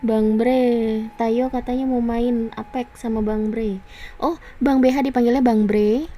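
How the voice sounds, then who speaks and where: A woman with an Indonesian accent chatting casually and playfully on a game livestream, giggly and quick to react. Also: fairly high pitch, fairly even intonation, mellow, slight background noise.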